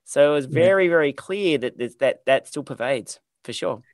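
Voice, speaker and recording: A man hosting a podcast interview, talking in a lively, emphatic, conversational way. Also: fairly high pitch, normal speed, dry recording. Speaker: podcast host.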